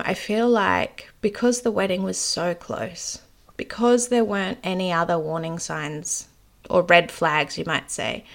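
Dream teacher, woman, earnest and reflective, slightly low voice with vocal fry. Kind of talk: personal storytelling to camera.

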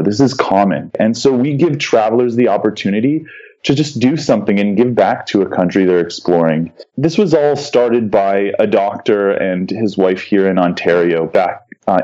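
A man's voice: on the low side, warm tone, lo-fi sound. A man volunteering for a charity, explaining its work earnestly in an interview, with a few fillers.

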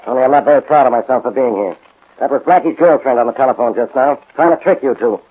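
An actor playing a gruff, irritable American police inspector, blunt and sarcastic, in a 1940s radio drama. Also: male voice, medium pitch, fast talker, natural voice. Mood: stern. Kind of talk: 1940s radio detective acting. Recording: tinny old 1940s radio broadcast.

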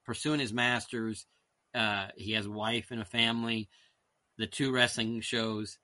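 A man's voice: lowish voice, level tone, unhurried, sharp tone, no room sound. A middle-aged man, a guest author in a casual interview, rambling and self-deprecating as he drifts off on tangents.

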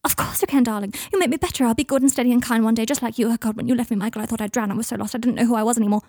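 A woman's voice: on the high side, steady tone, very fast, a little mellow, no room sound, clean studio sound. A woman voicing a brittle, giddy young man on drugs who gushes theatrically in an audiobook story. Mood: desperate gushing. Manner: theatrical, gushing, calls people darling. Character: giddy, brittle, high-pitched drugged young man, falsely gushing.

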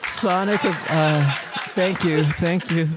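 A raunchy female mouse comic, played by a man, with a slightly high voice and a slow, drawn-out delivery. She punctuates her dirty jokes with little squeaks. The recording is noisy. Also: steady tone, a loud crowd. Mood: cheeky. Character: lewd female mouse comic roasting a plumber.

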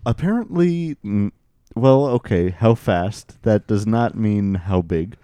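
A man's voice: fairly low pitch, animated, takes it slowly, warm tone, clean tone, dry recording. A man co-hosting a podcast, joking around in casual comedic banter, laughing often and talking over the others. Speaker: podcast co-host. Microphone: headphones on.

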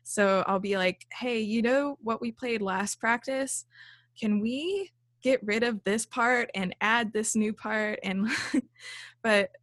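A woman's voice: a little high, slow, fairly bright tone, no room sound, studio quality. A young woman who leads a band, chatting casually in an interview with a small, light voice, lots of fillers and frequent laughs. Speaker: band leader and songwriter.

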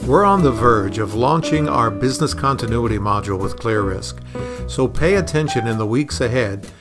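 A man talking conversationally on a podcast as a consultant being interviewed, explaining things in a measured way. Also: mid-range voice, animated, music in the background.

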